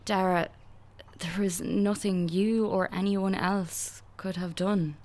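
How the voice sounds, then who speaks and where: A woman with an Irish accent playing a fragile, hopeful young woman recovering from a crisis, speaking haltingly in a naturalistic radio drama. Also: medium pitch, steady tone, darkish tone, close to an everyday voice. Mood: gentle, hopeful.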